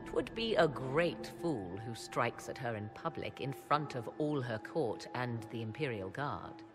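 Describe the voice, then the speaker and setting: An enigmatic sorceress from a fantasy game, haughty and theatrical. Her diction is archaic and measured, with sly pauses. Music plays clearly behind her. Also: rumbling low, sing-song, heavily acted. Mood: grave, measured. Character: enigmatic, haughty sorceress, arcane advisor at court. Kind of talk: fantasy game cutscene acting.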